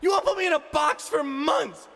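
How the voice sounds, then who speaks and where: A woman's voice: high voice, big pitch swings, moderate pace, fairly bright tone, big-room sound, pitched above the performer's own voice, full character voice. A man voicing a furious, vengeful young woman mechanist who screams her accusations. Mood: screaming.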